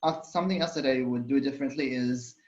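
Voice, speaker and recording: A man's voice: mid-range voice, fast talker, a little mellow, reverberant, lo-fi sound. A young man from Saudi Arabia, a student on a college panel, talking casually with many fillers and restarts. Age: young adult. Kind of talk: casual panel talk on a video call.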